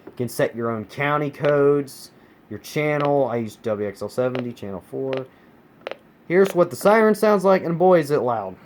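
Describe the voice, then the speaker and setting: A man's voice: mid-range voice, animated, normal speed. A man from the United States giving a casual, emphatic hobbyist product review, repeating words for stress. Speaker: collector reviewing his weather radios. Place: carpeted room at home. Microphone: handheld phone camera, speaker off screen. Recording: noisy home phone-camera recording.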